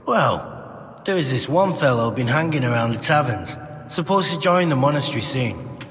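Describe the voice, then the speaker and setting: A man's voice: medium pitch, lively intonation, moderate pace, a bit bright, in a large hall, a little hiss or hum. A man playing a ruthless, mocking medieval bandit recruiter in a video game, with a blunt, coarse, sardonic way of talking. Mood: amused, sly. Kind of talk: video game cutscene acting.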